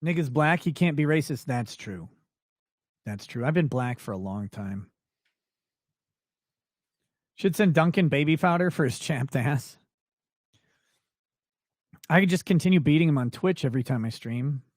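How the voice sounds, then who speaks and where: A man's voice: animated, a little slow, pauses often, no room sound, studio quality. A man hosting a casual late-night livestream, reading out and answering viewer questions in a blunt, crude, sweary way. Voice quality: voice not deep. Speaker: livestream host.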